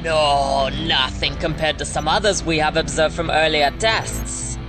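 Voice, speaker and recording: A man plays a lab scientist with a slightly high male voice. He reports in a precise, detached, matter-of-fact way, as in an audio drama, with music underneath. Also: steady tone, unhurried, close to an everyday voice, low-quality recording. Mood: clinical, measured.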